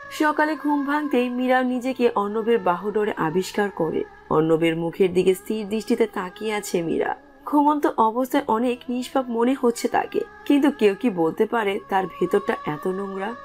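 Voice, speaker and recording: A woman's voice: mid-range voice, normal speed, a little mellow. A woman reading scripted narration for a romantic story as its narrator. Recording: music under the voice.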